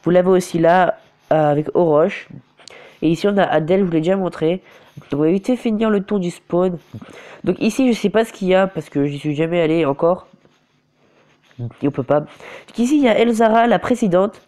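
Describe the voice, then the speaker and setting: A man's voice: high voice, a little fast, pauses often, warm tone. Hesitant guy with a cold. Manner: hesitant, often restarts and corrects himself. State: has a cold and a sore throat. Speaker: mobile game commentator. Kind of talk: casual gameplay commentary. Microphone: phone screen recorder.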